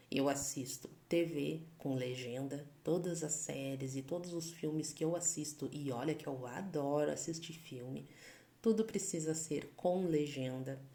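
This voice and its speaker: A Brazilian woman in her thirties, a sign-language educator, calmly sharing a personal testimony in a measured way. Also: low-pitched, roomy sound. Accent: Brazilian Portuguese. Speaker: Libras educator.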